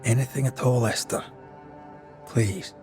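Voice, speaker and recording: An actor playing a steady, gentle ghost-hunting investigator who offers reassurance in a video game. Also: male voice, medium pitch, unhurried, faint music behind, lightly acted. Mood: reassuring. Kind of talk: video game drama acting.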